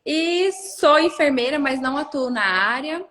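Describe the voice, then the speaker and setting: Woman, Brazilian accent, high pitch, casual conversational guest, reverberant recording. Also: lively intonation, normal speed, a bit bright. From Brazil, lives in Rondônia. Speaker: interview guest. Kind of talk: casual chat. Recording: reverberant room with long echo.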